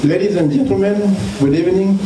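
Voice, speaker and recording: A man from Kenya with a Kenyan accent addresses a gathering as an organization president, speaking formally and earnestly with halting pauses. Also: sits high. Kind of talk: impromptu public speech. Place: echoey indoor dinner gathering. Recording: echoey hall, distant mic, crowd noise.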